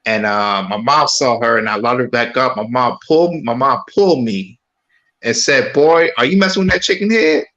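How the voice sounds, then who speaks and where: A man's voice: mid-range voice, moderate pace, a bit bright, echoey room, lo-fi sound. A man who grew up in a small Michigan city, telling a personal story on a casual podcast panel, rambling and stammering through restarts. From Pontiac, Michigan.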